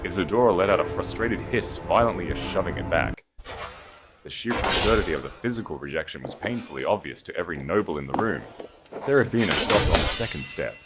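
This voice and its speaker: A male audiobook narrator with a very low voice reads dramatic scripted fiction. His intonation is lively and wide-ranging. Music plays clearly behind him. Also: fairly quick.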